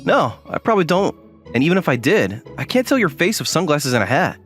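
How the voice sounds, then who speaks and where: Man acting a bemused college guy, very animated pitch. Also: brisk. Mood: puzzled, wary. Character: ordinary young male college student, self-deprecating, bemused, guarded. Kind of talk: anime-style dub with first-person narration and inner monologue. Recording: music behind the voice.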